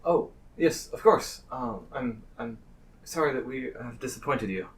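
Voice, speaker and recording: A man voicing an eager, excitable slime guard in a tabletop game, flustered and over-apologetic. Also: mid-range voice, measured pace, gravelly, recorded from a distance, pitched above the performer's own voice, rough recording.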